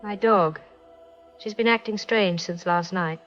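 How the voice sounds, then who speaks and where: A woman playing an uneasy, apologetic, halting caller to a radio show in a drama. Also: mid-range voice, fairly bright tone, lo-fi sound, soft background music, close to an everyday voice.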